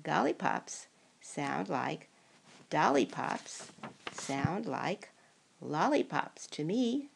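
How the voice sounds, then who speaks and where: An older woman voicing an earnest, clever little boy in a storybook, explaining rhymes in a deliberate way. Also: deep voice, big pitch swings, vocal fry, noisy background, heavily acted. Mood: earnest, sure. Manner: deliberate, drawn-out rhyming explanations.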